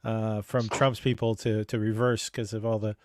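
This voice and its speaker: A man's voice: on the low side, flat delivery, moderate pace, dry recording, studio quality. A man chatting on a video call, rambling and hesitant with many fillers and restarts. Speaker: chat show co-host. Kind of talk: casual video chat.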